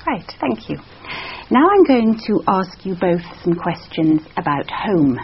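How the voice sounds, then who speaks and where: A woman examiner asking interview questions in a measured, encouraging way with frequent backchannels. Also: mid-range voice, sing-song, slight background noise. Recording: dull lo-fi sound.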